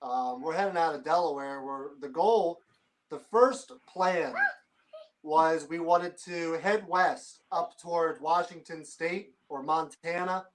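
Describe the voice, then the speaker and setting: A man's voice: fairly high pitch, takes it slowly, poor audio. A man who is a guest on a casual chat, answering in a hesitant way with many "um"s.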